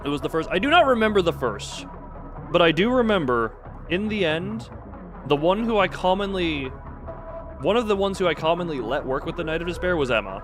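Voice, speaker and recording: A man reading game story text as a solemn, remorseful manager recounting events in a deliberate way. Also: high-pitched, lively intonation, over music, voice pushed up. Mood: grave, remorseful.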